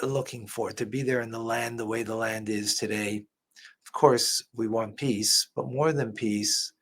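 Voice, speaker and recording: A man's voice: mid-range voice, gravelly, breathy edge. A man, a rabbi, giving earnest religious commentary as he reacts to a clip. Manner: earnest, preacher-like teaching.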